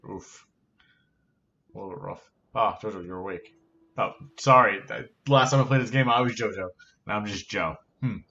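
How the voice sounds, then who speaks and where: Low bright-toned man playing a game. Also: moderate pace, creaky, highs cut off. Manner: playful, reads characters in silly voices and jokes. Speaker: let's play host. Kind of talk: gaming commentary reading game dialogue aloud.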